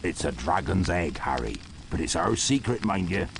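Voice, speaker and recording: An actor playing a burly, warm-hearted giant groundskeeper in a video game, with a gruff, hearty voice. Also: male voice, low voice, sing-song, moderate pace, noisy background, heavily acted. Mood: confiding. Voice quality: gruff, gravelly. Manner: hearty, rustic, fond.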